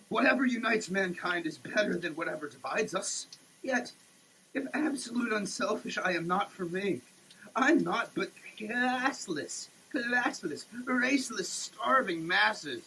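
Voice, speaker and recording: A man giving a dramatic, preachy reading with emphatic pauses, like a sermon. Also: high-pitched, pushed and bright, a little hiss or hum, low-quality recording. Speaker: sketch performer. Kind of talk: dramatic reading of a soap label, declaimed like a sermon. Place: shower, per the page.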